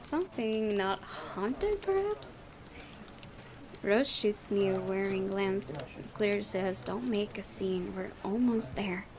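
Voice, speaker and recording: A woman reading a visual novel game aloud in an expressive, giggly way, with casual reactions. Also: medium pitch, slow pace, background noise. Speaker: let's-play host.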